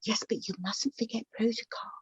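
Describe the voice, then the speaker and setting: Woman acting middle-aged widowed mother, gentle, flustered, halting speech, slightly husky, muffled radio play sound. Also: fairly high pitch, no echo, whispered. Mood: resigned, fussy. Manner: hesitant, halting, repeating words, sighing. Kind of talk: naturalistic radio drama dialogue.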